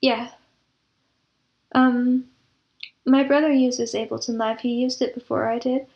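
Higher-pitched woman with a creaky voice. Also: little pitch movement, unhurried, highs cut off. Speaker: guest composer and audio drama producer. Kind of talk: reflective interview talk.

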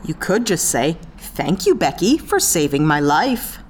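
A woman's voice: low-pitched, big pitch swings, measured pace, a little hiss or hum. A woman playing a no-nonsense spaceship captain in a sci-fi audio drama, dry, bossy and sarcastic. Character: brisk, no-nonsense female spaceship captain, sardonic and exasperated.

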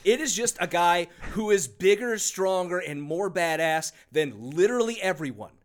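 A man's voice: high-pitched, animated, moderate pace. A man co-hosting a casual podcast about a book, sarcastic and swearing freely, laughing often. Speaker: podcast co-host.

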